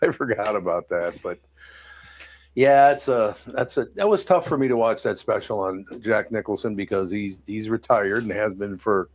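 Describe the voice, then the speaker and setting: Deep-voiced older Midwestern male host. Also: normal speed. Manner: relaxed storytelling, chuckles. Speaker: podcast host. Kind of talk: casual chat.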